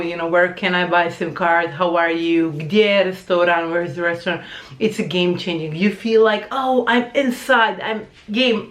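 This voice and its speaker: A woman's voice: lowish voice, a bit bright. Chatty Croatian-accented woman in an echoey room. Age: 30s. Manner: chatty, searching for words, mixes in other languages. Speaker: language teacher. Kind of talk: casual vlog to camera.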